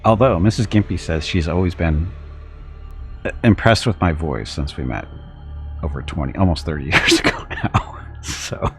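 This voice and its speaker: A middle-aged man with an extremely low, gravelly voice, casually chatting while he plays a game. His delivery is rambling and self-deprecating, with a lively, swooping pitch, and faint game music plays behind him. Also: moderate pace. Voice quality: deep. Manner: rambling, self-deprecating, reads on-screen text aloud. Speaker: streamer. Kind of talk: casual let's-play commentary.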